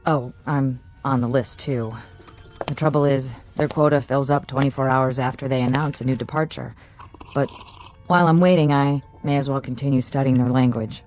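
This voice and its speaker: A low, mellow uneasy assistant. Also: female voice, fairly even intonation, normal speed, soft background music. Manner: quiet, thoughtful, uneasy. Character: uneasy female assistant code breaker. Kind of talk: radio drama acting.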